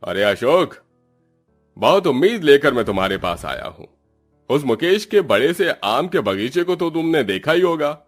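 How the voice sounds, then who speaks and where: A man's voice: on the high side, very animated, normal speed, soft background music. A man voicing a greedy, scheming village headman in a cartoon story, with a conniving, persuasive manner.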